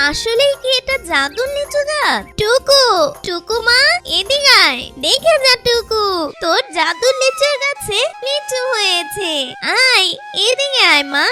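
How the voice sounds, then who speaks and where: A woman voicing a caring, affectionate village mother in a cartoon fairy tale, with a coaxing, motherly manner. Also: very high-pitched. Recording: children's background music throughout. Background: loud children's music.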